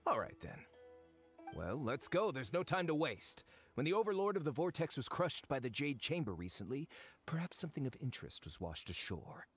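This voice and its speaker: An actor playing a slick, smug and impatient researcher in an anime game, with glib, affected politeness. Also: male voice, on the high side, big pitch swings, normal speed, breathy edge, faint music behind. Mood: scheming, intrigued.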